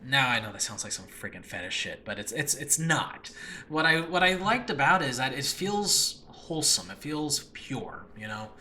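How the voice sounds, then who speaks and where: A man's voice: mid-range voice, big pitch swings, normal speed, bright, forceful tone. A man hosting a casual, unscripted podcast about his own audio drama, talking in a rambling, earnest, self-deprecating way. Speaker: host and audio drama creator. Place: makeshift room in a new home. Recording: noisy makeshift home recording.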